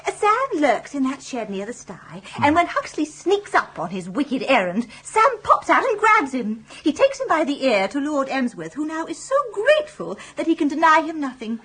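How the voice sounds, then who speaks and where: A woman's voice: high-pitched, big pitch swings, normal speed, hardly any pauses, fairly bright tone. An actress playing a coaxing, lovestruck young English woman in a radio comedy, with a gushing manner. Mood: bright, questioning. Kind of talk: radio comedy drama acting.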